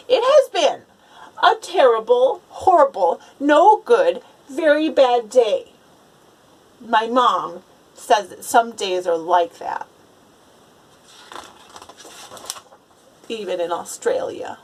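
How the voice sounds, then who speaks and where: A woman's voice: on the high side, sing-song, a little slow, rough recording. Woman reading as sulky, aggrieved young storybook boy. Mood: grumpy, fed up. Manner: sulky, emphatic complaining, words spaced out. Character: grumbling, aggrieved young boy narrating his awful day. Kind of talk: children's storybook read-aloud.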